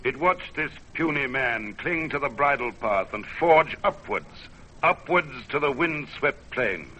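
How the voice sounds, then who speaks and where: A man's voice: medium pitch, animated, measured pace, pushed and bright, a little hiss or hum, rough recording. A man playing a dramatic storyteller, narrating an old-time radio adventure in a theatrical, incantatory way. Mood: suspenseful. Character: dramatic male storyteller of a perilous mountain climb.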